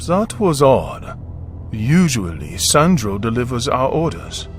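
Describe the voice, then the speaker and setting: An actor playing a tired, protective widowed father who speaks in a calm, measured way. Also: mid-range voice, faint music behind. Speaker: narrator's father. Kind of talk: story narration dialogue.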